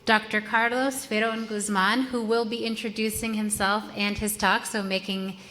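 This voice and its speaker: Bright-voiced woman moderating. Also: mid-range voice, level tone, moderate pace, a little hiss or hum. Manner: loose, conversational, many fillers. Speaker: event moderator. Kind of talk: casual moderating. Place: large lecture hall. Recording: long reverb in a lecture hall.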